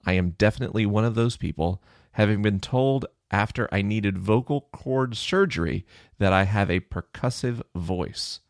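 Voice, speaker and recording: A middle-aged man with a low, chesty voice, hosting a podcast and casually explaining research in answer to a listener question. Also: normal speed, no echo, clean studio sound. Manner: conversational, thinking aloud with fillers.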